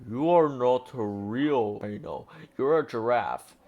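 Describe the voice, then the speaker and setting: An angry, burly storybook rhino, played by a man. It bellows gruffly and dismissively. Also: medium pitch, slow pace, a little mellow, dull audio, lightly acted.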